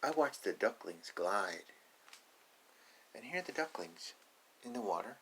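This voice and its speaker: A man's voice: on the low side, sing-song, a little slow, pushed and bright, a little hiss or hum, poor audio. A man reading a picture book aloud to young children, adding playful, simple explanations in casual asides.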